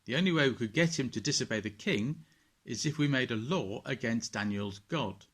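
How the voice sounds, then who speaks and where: A man voicing scheming royal servants who flatter and tell tales in a children's Bible storybook reading. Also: medium pitch, normal speed, natural voice. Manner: fawning, tale-telling.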